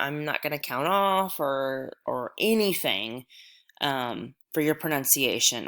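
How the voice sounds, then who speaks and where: Woman teacher, deep voice, patient and encouraging, recorded lesson with plenty of ums. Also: fairly bright tone. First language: English. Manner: reassuring, patient, many ums.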